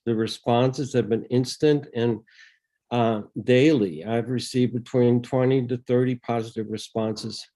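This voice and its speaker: An older man from the Netherlands, reading a prepared statement at public comment over a video call, measured, with frequent ums and uhs. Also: mid-range voice, warm tone. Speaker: resident speaking at public comment.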